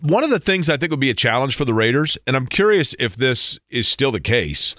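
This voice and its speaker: A man's voice: medium pitch, sing-song, a little fast, bright, forceful tone. A man hosting a sports radio show, asking questions conversationally with long, discursive set-ups.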